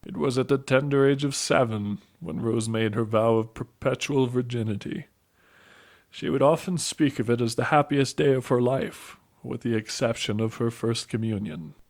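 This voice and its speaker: A man playing a calm priest who tells a saint's story in an audio drama, measured and explanatory. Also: mid-range voice, close to an everyday voice. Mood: earnest, instructive. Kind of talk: audio drama acting and narration.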